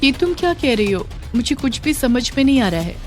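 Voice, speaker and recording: A woman's voice: sits high, sing-song, brisk, darkish tone, soft background music, pitched above the performer's own voice. A woman voicing a meek, devoted young blind bride in a dramatized moral story, speaking with deferential pleading. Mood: anxious, pleading.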